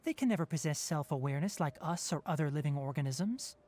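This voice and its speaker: A man voicing a calm, principled young male forest ranger and scholar in a video game, speaking in a measured, thoughtful way. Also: fairly high pitch, close to an everyday voice.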